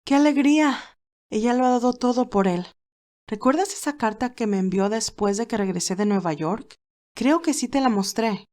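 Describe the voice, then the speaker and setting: A woman voicing a tender, sincere, nostalgic young woman in a dramatized romantic story, speaking earnestly and gently. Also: a little high, moderate pace, pitched above the performer's own voice, natural voice. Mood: joyful. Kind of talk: dramatized romantic fan fiction.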